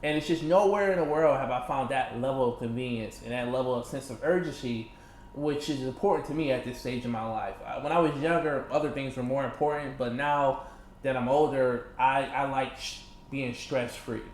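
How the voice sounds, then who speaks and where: A man in his thirties from Michigan, chatting casually as an interview guest, animated, laughing often and filling with "you know". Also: mid-range voice, normal speed, a little hiss or hum. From Michigan, USA. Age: 30s. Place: indoors in a condo. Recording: echoey room with faint ambience.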